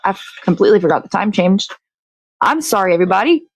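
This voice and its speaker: A woman's voice: medium pitch, lively intonation, fast talker, breathy edge, no echo. A woman hosting a morning show in casual chat, talking in a blunt, sweary, rambling way.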